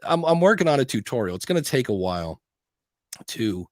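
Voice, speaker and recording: A man's voice: medium pitch, very animated, a little fast, no echo, studio quality. Chatty man rambling about gear. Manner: rambling, restarts and self-quotes. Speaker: podcast host. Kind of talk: casual tech chat. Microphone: studio dynamic mic.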